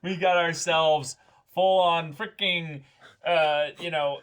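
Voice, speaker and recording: A man's voice: fairly high pitch, steady tone, slow, a bit bright, smooth. A man co-hosting a reaction show, chatting casually and expressively about what he watched. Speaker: co-host of an anime reaction show.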